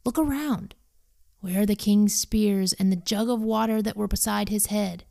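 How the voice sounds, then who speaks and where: A woman's voice: mid-range voice, a little slow, mellow, natural voice. A woman voicing a bold young male fugitive warrior in a Bible story, earnest, declamatory and pleading. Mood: taunting.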